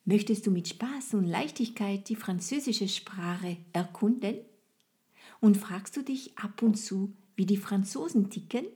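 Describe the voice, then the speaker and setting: French-accented woman host, mellow and breathy, gentle unhurried invitation to the listener. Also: medium pitch. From France. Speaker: podcast host and French language trainer. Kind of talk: solo podcast monologue.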